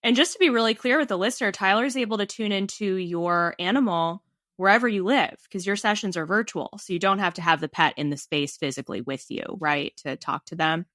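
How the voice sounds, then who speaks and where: Bright, enthusiastic woman hosting a podcast. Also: medium pitch, fairly quick, no echo, studio quality. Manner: enthusiastic, affirming. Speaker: podcast host. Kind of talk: casual podcast interview.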